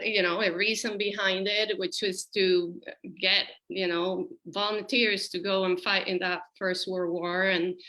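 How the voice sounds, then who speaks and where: A woman with a bright, forward voice. She speaks as a guest, reflecting on personal experience in thoughtful, halting phrases. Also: medium pitch, poor audio. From Puerto Rico. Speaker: panel guest, school counselor.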